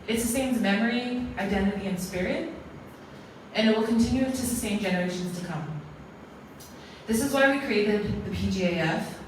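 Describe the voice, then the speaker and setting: A woman's voice: medium pitch, normal speed, slight background noise. A woman from American Samoa giving a formal, earnest closing speech at an arts awards event, often building her points as lists. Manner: earnest, ceremonial, speaks in lists. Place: large echoey museum hall. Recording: echoey hall, distant mic.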